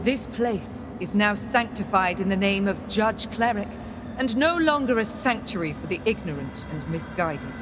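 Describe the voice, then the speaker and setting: A sanctimonious enforcer of a holy order voiced by a woman, medium in pitch. She delivers formal commands with cold threat, in fantasy game style, amid clearly noisy surroundings. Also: animated, normal speed, close to an everyday voice. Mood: cold menace. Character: stern, sanctimonious female religious enforcer. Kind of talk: video game dramatic acting.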